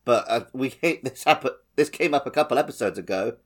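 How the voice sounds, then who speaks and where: A man's voice: medium pitch, lively intonation, fairly quick. A man co-hosting a podcast, chatting casually and animatedly with his opinions about a video game. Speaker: podcast co-host.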